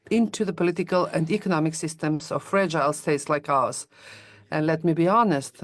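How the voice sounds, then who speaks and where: A woman's voice: deep voice, no room sound. A woman interpreting a formal speech, with frequent fillers and self-corrections.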